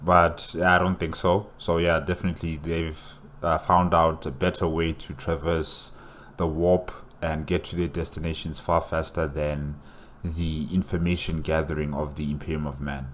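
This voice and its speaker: A man's voice: a bass voice, little pitch movement, normal speed, fairly bright tone, slight background noise. A man reacting off the cuff in casual commentary, repeating phrases for emphasis. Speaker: reaction video host.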